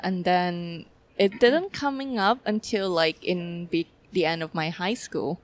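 A young woman from Thailand with a Thai accent, a film director answering interview questions in a halting, casual way with many fillers. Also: lowish voice.

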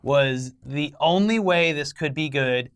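A man's voice: fairly high pitch, slow, fairly bright tone, clear, resonant tone. A man co-hosting an entertainment news show, giving conversational commentary with wry, joking asides.

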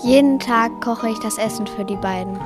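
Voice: mid-range voice, moderate pace, natural voice. A female performer playing a kindly, misunderstood fairy-tale witch in a children's radio play, reciting her lines earnestly. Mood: indignant, earnest. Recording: music playing behind the voice.

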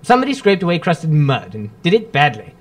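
A man acting a brilliant, eccentric gentleman detective in an old-time radio drama, exclamatory and teasingly superior. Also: fairly high pitch, huge pitch swings, fairly quick. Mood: smugly amused.

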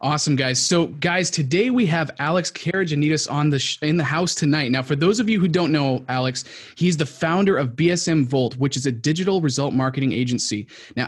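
Quick excited podcast host guy from new england, kinda high voice. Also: slightly rough. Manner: upbeat, brisk introductions. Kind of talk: podcast interview hosting.

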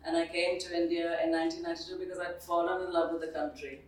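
A middle-aged woman with a British accent, speaking as an interview guest in a measured, composed, slightly formal way. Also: fairly low pitch, little pitch movement. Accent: British English. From born in Zambia, schooled in the UK. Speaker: guest, dean and professor. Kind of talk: interview conversation. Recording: roomy, distant mic with faint ambience.

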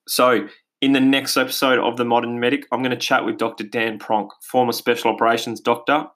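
A man's voice: fairly low pitch, a bit bright. A middle-aged Australian man hosting his own podcast in a casual, eager monologue, with plenty of fillers and restarts, on basic home recording gear. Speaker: podcast host. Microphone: home podcast mic, basic quality.

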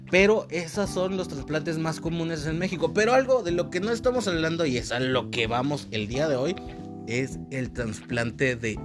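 Chatty Mexican man over guitar music. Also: medium pitch. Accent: Mexican Spanish. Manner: chatty, informal, hesitant with fillers and restarts. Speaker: podcast host. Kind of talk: casual solo podcast chat.

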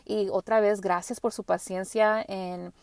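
Woman with English as her first language, podcast host, hesitant, gentle, dry close sound. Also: medium pitch, steady tone, a little slow, creaky, no room sound. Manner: hesitant, frequent restarts and fillers. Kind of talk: casual spiritual monologue.

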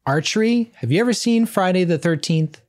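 Woman as a fussy, low-voiced anxious teenage boy. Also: a little fast, lightly acted. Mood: fussy, worried. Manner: prim, deadpan, worrying. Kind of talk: comedic script table read.

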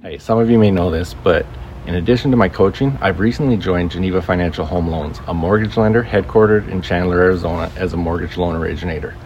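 A man's voice: sits low, fast talker, darkish tone, highs cut off, a little hiss or hum. An American man, a podcast host, delivering a scripted promotional message addressed straight to listeners. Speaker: podcast host, coach, loan originator.

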